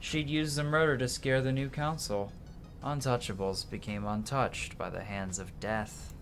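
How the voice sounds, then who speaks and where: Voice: mid-range voice, steady tone, a little slow. A streamer with a mid-range voice reads game dialogue aloud dramatically. The delivery trips over words and corrects itself. Game music plays behind the voice. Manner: dramatic reading with stumbles and self-corrections.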